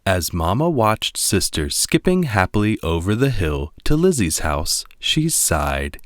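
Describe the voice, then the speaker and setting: Man, storybook narrator, expressive, unhurried, close dry recording. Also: low voice, no room sound. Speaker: read-along narrator. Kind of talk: scripted children's storybook narration.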